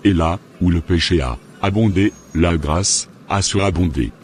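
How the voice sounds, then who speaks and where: Deep-voiced straying husband in a cartoon played by a man, stubborn, faint noise. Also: unhurried, darkish tone. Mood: defensive. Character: unfaithful married churchgoer.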